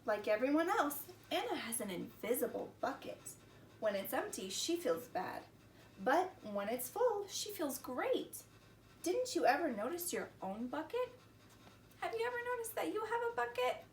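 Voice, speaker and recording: A woman's voice: sits high, very animated, moderate pace. A woman hosting a preschool story time, talking to young children in a sing-song teacher's manner with simple questions. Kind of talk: children's lesson and read-aloud. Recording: roomy, distant mic with faint noise.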